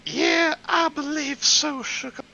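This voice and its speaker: Guy goofing around with friends, super high swoopy pitch, gravelly creak, hammy silly voices, bit noisy. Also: fairly even intonation, slow, sharp tone, dull audio. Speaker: co-commentator. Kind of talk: casual joking banter.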